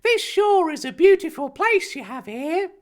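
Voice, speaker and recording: A man voicing an eager, innocent young boy in a high, breathless voice. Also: very animated, a little slow, exaggerated voice.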